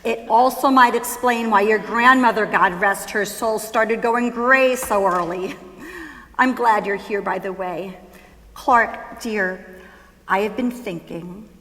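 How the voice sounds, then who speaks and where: A woman's voice: mid-range voice, fairly bright tone. A woman playing an affectionate, wry ageing mother in a musical theatre staged reading. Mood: tender, reflective. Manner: wry, fond, unhurried. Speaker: stage actress. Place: school auditorium stage. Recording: echoey hall with long reverb.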